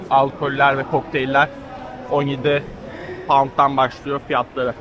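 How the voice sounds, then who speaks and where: A man in his thirties from Turkey, casually narrating a walking travel vlog with many drawn-out fillers, filmed at arm's length in a busy market. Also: medium pitch. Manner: hesitant, many drawn-out fillers, steady and informal. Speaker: travel vlogger. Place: busy covered market and rainy square outdoors. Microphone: handheld camera at arm's length. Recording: noisy busy street ambience. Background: crowd chatter and city ambience.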